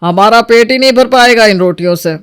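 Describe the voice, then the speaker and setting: Narrator doing a grumbly bhaiya in a storybook tale, smooth clear voice, keeps objecting. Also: medium pitch, fairly quick, fairly bright tone, lightly acted. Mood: displeased, insistent. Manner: objecting, insistent. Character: grumbling adult brother-in-law attached to his usual food.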